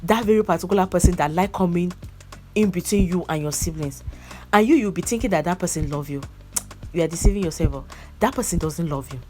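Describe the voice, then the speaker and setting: A woman's voice: fairly low pitch, quick pace, mellow, soft background music. A young woman chatting casually about a movie, repeating herself and breaking into laughs. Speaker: movie reviewer. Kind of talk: casual movie review chat.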